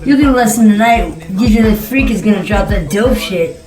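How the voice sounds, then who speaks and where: A woman's voice: mid-range voice, lively intonation, a little fast, close to an everyday voice. A woman voicing a crude, mocking hip hop heckler in a puppet film, full of slang. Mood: taunting. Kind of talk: scripted puppet film dialogue. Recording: echoey room with music behind.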